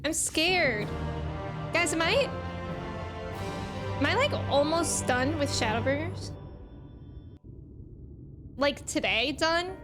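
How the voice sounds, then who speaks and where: A woman's voice: high-pitched, animated, slow, long pauses, over music. A woman chatting casually and playfully as she livestreams a video game, reacting and greeting viewers.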